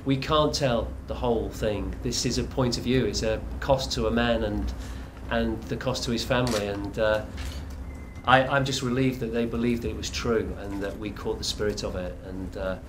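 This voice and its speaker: A man's voice: lowish voice, steady tone, moderate pace. A man speaking as a film director at a press conference, heartfelt and reflective. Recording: noisy room.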